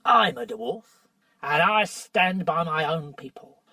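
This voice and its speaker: A storybook reader voicing a gruff, stubborn male dwarf who speaks slowly and firmly. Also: mid-range voice, animated, pushed and bright, dry recording, recorded from a distance, exaggerated voice.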